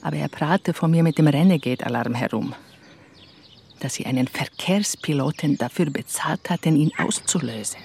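Low-voiced, guarded woman. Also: normal speed, creaky, darkish tone. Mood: confiding. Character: guarded, proud Serbian woman confiding in a detective. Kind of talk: radio crime drama acting. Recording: faint outdoor background noise. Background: birdsong outdoors.

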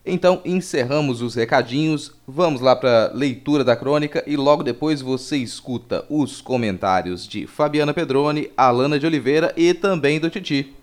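A man's voice: medium pitch, normal speed, few pauses. A man with a Brazilian accent giving informal announcements as a podcast host.

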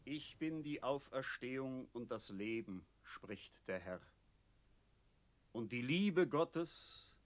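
An actor playing a clergyman in a 1960s radio play, intoning a burial sermon with solemn, drawn-out words. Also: mid-range voice. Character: solemn clergyman preaching at a graveside.

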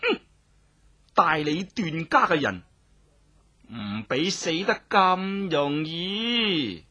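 Audiobook villainess, voiced by the narrator: imperious woman, cold menace, scornful delivery, sharp husky tone. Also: medium pitch, big pitch swings, drawn-out words, full character voice. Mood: coldly commanding. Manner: scornful snorts, commanding, menacing. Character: cold, cruel, imperious noblewoman passing death sentences.

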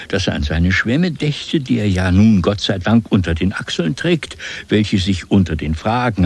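Deep, husky male storyteller. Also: a little mellow. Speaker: narrator. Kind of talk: literary radio play narration.

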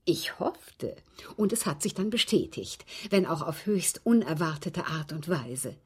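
A ladylike old widow in a radio drama, with a husky, breathy voice that rises and dips expressively. She speaks precisely and without hurry, composed and slyly evasive about what she has done. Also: low-pitched, expressive, measured pace, darkish tone, exaggerated voice. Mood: calmly evasive. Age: older. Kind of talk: German radio drama acting.

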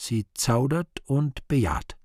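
A man narrates the stage directions of a radio play in a subdued, matter-of-fact manner. His delivery is unhurried and slow, and the sound is very dry, as in a studio. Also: mid-range voice, sing-song, darkish tone, dry recording.

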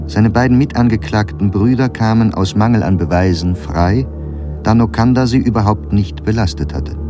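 Documentary narrator: man, lowish voice, scripted delivery, clear music bed. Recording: prominent music behind narration.

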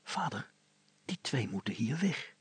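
A man voicing an eager, mischievous boy who speaks to his father in confident, hushed whispers. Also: medium pitch, big pitch swings, a little slow, husky, dry recording, exaggerated voice. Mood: conspiratorial.

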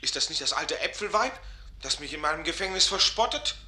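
A man's voice: a little high, expressive, a little fast, pushed and bright. A man playing an impassioned, lovesick young student in a theatrical radio drama, declaiming fervently. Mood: anguished. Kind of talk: theatrical German radio play acting. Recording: echoing studio, faint music behind.

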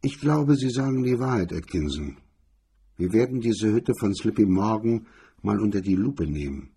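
Cold menacing tough man, acted by a male reader, low husky voice, deliberate threats, crime radio drama. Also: expressive, warm tone, exaggerated voice. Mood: calmer.

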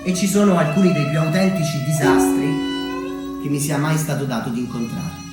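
A man from Umbria in Italy performing a reflective theatrical monologue as a solo storyteller, measured and pausing for weight. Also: on the high side, fairly even intonation. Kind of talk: theatrical monologue, narrated memoir. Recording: very echoey, distant mic, music underneath.

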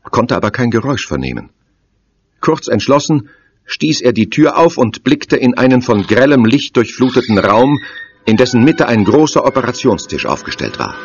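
Grave, deep horror narrator. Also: male voice, a little hiss or hum. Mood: suspenseful. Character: grave male narrator of a lurid horror tale. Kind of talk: dramatic scripted narration. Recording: dull muffled audio over music.

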